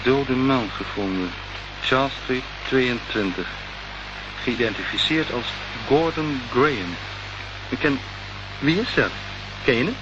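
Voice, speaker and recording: An actor playing a concerned, inquisitive associate of a private investigator in a radio play, conversational and a little hesitant. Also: male voice, mid-range voice, expressive, unhurried, long pauses, natural voice. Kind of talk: Dutch radio play acting. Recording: muffled, noisy old radio recording.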